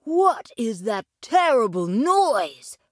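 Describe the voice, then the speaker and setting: A woman's voice: on the high side, lively intonation, a little slow, no room sound, studio quality, voice pushed up. A woman voicing a cheeky young boy in a fairy tale, with a pleading, theatrical delivery. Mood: frightened.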